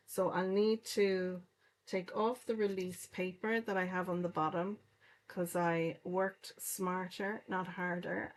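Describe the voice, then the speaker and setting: A middle-aged woman with a mild Irish lilt talks through her card-making in an unhurried, chatty way. Her voice sits a little low, and she explains each step as she goes. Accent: soft Irish. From Ireland, County Clare. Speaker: card-making livestream host. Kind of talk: casual crafting livestream chat.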